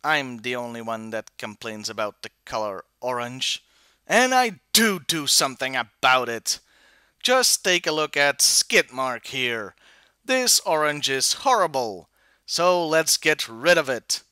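A man narrates a scripted toy review with wry, opinionated delivery. His voice is bright and very lively in pitch, and the sound is dry and close. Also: unhurried, clear, resonant tone, no echo.

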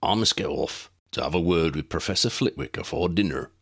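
A man voicing a gruff, friendly big male gamekeeper in an audiobook. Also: sits low, expressive, fairly quick, fairly bright tone, heavily acted. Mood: hearty. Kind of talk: audiobook character voice.

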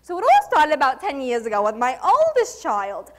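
A woman's voice: sits high, huge pitch swings, normal speed. A woman performing a dramatic monologue as a nervous, gushing, proud middle-aged mother, theatrical and effusive. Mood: exasperated, gushing. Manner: theatrical, effusive, self-congratulating, stammering. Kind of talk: dramatic monologue in a speech competition.

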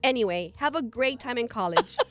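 A woman's voice: on the high side, lively intonation, brisk, a bit bright, no room sound, soft background music. An American woman reading a note aloud as a co-host on a radio show. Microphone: close studio microphone.

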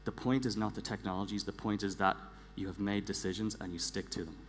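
A man speaks from a big stage with long hall reverb and a clear background hum. His voice sits fairly low, and he delivers a prepared presentation deliberately, repeating words for emphasis. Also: steady tone. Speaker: conference speaker, engineering director. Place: large theatre stage, indoors.

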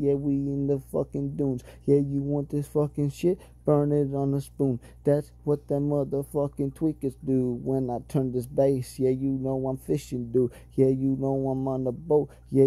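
Flat, dark-voiced man freestyling. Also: mid-range voice, no echo. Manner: rambling, heavily profane flow. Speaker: amateur freestyle rapper. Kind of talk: a cappella freestyle rap.

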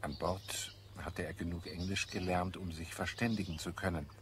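An actor playing an earnest, idealistic scholar in a radio play, speaking in a solemn, measured, visionary way. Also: male voice, low-pitched, steady tone, noisy background, natural voice. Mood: solemn, confiding. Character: earnest idealistic male scholar, secretly half Martian. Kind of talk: German radio play acting. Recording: clear background birdsong and ambience. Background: birdsong in a garden scene.

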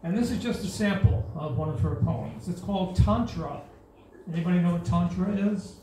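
An older woman reading a rhyming poem aloud at an open mic, in a slow, measured recital. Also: on the low side, warm tone, slight background noise. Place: small stage in an indoor venue. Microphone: stand mic, filmed from a far camera. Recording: echoey room from far off.

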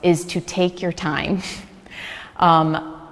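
A woman's voice: on the low side, little pitch movement, extremely slow, long reverb tail. A woman in her thirties, whose first language is Hungarian, answering interview questions in an earnest, chatty way, with many fillers, speaking into a lapel mic in a large gallery room. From United States. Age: 30s. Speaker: refugee services manager. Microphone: small lapel mic.

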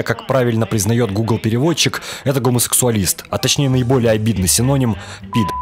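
A young man from Russia delivering a scripted, wry review as a voice-over. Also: mid-range voice. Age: young adult. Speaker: video reviewer. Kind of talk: scripted cartoon review narration. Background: background music.

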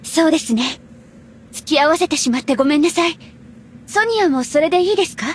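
High-voiced, courteous young warrior woman. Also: expressive, a little fast, a little hiss or hum. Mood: polite, caring. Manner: polite, formal, composed. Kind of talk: Japanese anime game voice acting.

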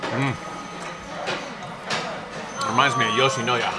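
A man in his fifties hosting a casual food vlog, chatty and playful, reacting loudly as he tastes sushi in a small restaurant. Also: medium pitch, fairly even intonation, takes it slowly. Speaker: food vlogger host. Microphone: handheld camera close up. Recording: noisy restaurant room.

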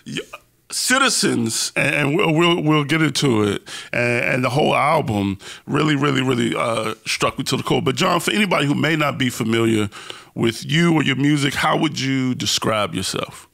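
Candid man with a bright, creaky voice. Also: mid-range voice, moderate pace. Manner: candid, slangy, self-deprecating. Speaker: podcast host interviewing a guest. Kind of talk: casual, personal interview chat.

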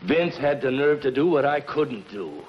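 An actor playing a loyal, weary middle-aged henchman, gruff and slangy, in a 1950s television crime melodrama. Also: medium pitch, expressive, unhurried, natural voice. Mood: grim, resigned. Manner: gruff, plain-spoken, slangy. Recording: muffled, noisy 1950s television soundtrack.

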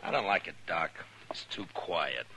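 An American actor playing a brooding, dryly humorous, weary frontier marshal in a 1950s radio western. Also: male voice, low-pitched, expressive, moderate pace. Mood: brooding, uneasy. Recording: noisy old radio recording.

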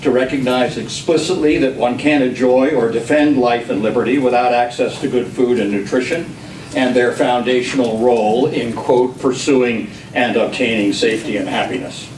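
An older man reading a prepared speech at a public hearing in a deliberate, formal manner. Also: medium pitch, steady tone, darkish tone. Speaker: member of the public testifying at a hearing. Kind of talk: prepared speech read aloud. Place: government committee hearing room. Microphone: camera across the room. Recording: echoey room, distant mic, faint noise.

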